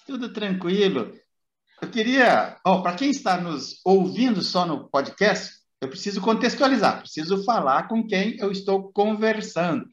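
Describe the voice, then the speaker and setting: High-voiced man hosting in a roomy space. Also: expressive, a little slow. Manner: chatty, meandering. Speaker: podcast host. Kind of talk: casual interview chat. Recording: roomy, dull lo-fi sound.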